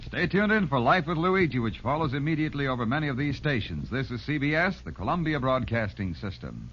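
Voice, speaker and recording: A man's voice: medium pitch, sing-song, a little fast, a bit bright. Old radio network announcer guy, swooping mid voice, muffled with some hiss. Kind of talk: formal vintage radio announcing.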